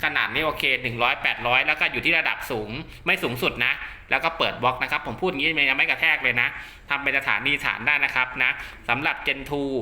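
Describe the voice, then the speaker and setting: Bright, forceful man giving a casual demo. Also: mid-range voice. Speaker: host of a radio gear channel. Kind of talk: casual product test tutorial. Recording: roomy echo.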